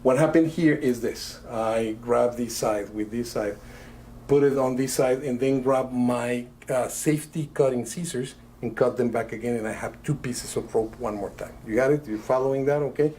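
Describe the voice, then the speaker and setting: A middle-aged man from Italy, a magician doing live patter for a rope trick, talking in a chatty, halting way with many fillers. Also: medium pitch, fairly even intonation, mellow, slight background noise. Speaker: magician guest.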